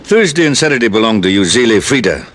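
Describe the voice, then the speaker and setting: A deep, smooth-talking plantation owner with a condescending air, who leans hard on certain words and lets others fall low. His pitch moves widely, as in an old radio play. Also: very animated, a bit bright. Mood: suave. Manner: suave, condescending, slow emphasis. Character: powerful, smooth older male plantation owner. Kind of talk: 1950s radio drama acting.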